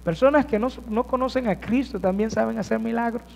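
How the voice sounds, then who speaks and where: High-voiced pastor preaching in a hall. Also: male voice, fairly quick, creaky. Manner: addresses congregation with rhetorical questions. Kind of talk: sermon. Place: church sanctuary. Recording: church hall reverb.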